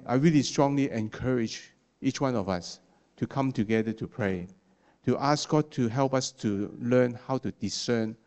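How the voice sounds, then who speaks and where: Man, non-native English speaker, sermon, halting, many restarts and fillers, checks in with "okay?", mid-pitched voice. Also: a little mellow. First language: not English. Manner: ends points with "okay?". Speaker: preacher.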